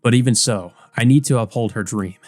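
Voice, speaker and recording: A man's voice: mid-range voice, brisk, a little mellow, no room sound, faint music behind. A man reading a visual novel aloud as dramatic scripted narration. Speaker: let's play narrator.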